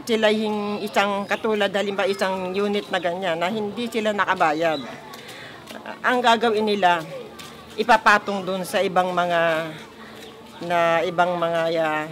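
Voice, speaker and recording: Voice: mid-range voice, fairly even intonation, measured pace, long pauses, a bit bright. A Filipino resident explaining community grievances in an interview. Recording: noisy outdoor field recording.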